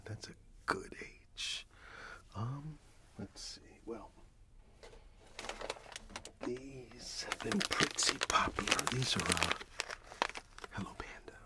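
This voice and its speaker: A middle-aged man in a soft-spoken ASMR roleplay as a friendly candy shop owner, talking gently and unhurriedly close to the microphone. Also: on the low side. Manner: gentle, whispery, unhurried customer service.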